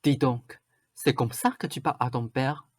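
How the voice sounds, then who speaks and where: A woman's voice: extremely low pitch, moderate pace, no room sound, studio quality. A woman playing a bossy, vain, suspicious stepmother in a radio drama, with a scolding, insistent manner. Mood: pompous. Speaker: student actor.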